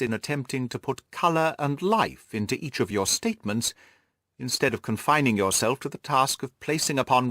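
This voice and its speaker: A man's voice: mid-range voice, a bit bright, no echo, clean studio sound, voice pushed up. A man voicing a haughty, disputatious detective who lectures his friend pompously in an audiobook. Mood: haughty, lecturing. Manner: pompous, didactic. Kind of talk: audiobook character dialogue.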